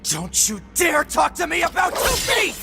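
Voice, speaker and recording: A young male android from a dramatic sci-fi game. He is bitter and sullen, with short, slow phrases that vary widely in pitch. Music plays behind him. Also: huge pitch swings, creaky, full character voice. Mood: angry. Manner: curt, sullen.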